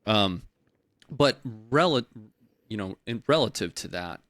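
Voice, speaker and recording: A man hosting an interview, talking analytically and haltingly, with many restarts and filler phrases. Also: fairly low pitch, expressive, fairly bright tone, no echo, clean studio sound. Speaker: interview host. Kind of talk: casual interview talk.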